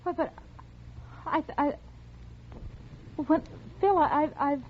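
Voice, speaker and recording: A woman's voice: high-pitched, slow, phone-quality sound. An actress playing an emotional jilted girlfriend in a 1940s radio drama, dramatic in delivery, on a scratchy old recording. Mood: hurt, pleading. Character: jilted girlfriend, emotional, pleading then bitterly angry.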